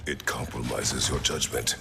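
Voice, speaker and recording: A middle-aged man playing a stern, stoic, protective warrior father in a video game, gravelly and terse, with formal, grave phrasing. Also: normal speed, long reverb tail, over music, heavily acted. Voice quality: gravelly, deep. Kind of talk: dramatic video game cutscene acting.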